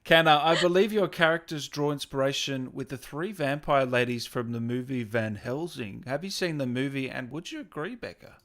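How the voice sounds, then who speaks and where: A man's voice: mid-range voice, normal speed, few pauses, a bit bright. A man hosting a casual interview, chatting and relaying questions from viewers. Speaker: host, interviewer. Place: remote video call.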